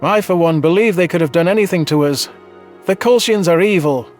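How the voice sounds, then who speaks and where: A scowling alien male, voiced by a man speaks bitterly at a high pitch. Faint music sits under this sci-fi audio drama. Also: fairly quick, natural voice. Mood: bitter anger.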